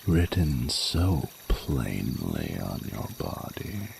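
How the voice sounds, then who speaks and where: A man's voice: very deep voice, sing-song, creaky, background noise, exaggerated voice. A man voicing a flirty, courtly male dragon in a romantic audio roleplay, speaking formally and soothingly in intimate ASMR style. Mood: tender, soothing. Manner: formal, flowery, unhurried, soothing speech. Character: ancient-sounding flirty male dragon, tender, courtly lover.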